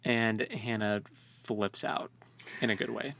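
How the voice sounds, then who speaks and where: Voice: medium pitch, fairly even intonation, a little slow, gravelly, a bit bright. A podcast co-host chatting casually about a novel with tongue-in-cheek commentary, repeating phrases and jokingly acting out lines. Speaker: co-host of a book read-along podcast.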